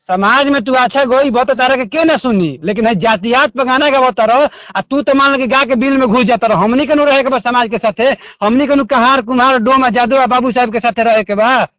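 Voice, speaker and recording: Very high-voiced male caller, Bhojpuri accent, argumentative and aggrieved, close dry sound. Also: fairly quick, fairly bright tone, no echo. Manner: argumentative, aggrieved, repetitive, coarse-mouthed. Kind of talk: confrontational phone call.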